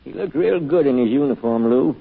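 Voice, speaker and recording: An actor playing a wry, knowing confidant in an old-time radio drama, with a raspy voice through a thin filter. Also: male voice, mid-range voice, brisk. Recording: tinny, band-limited radio sound.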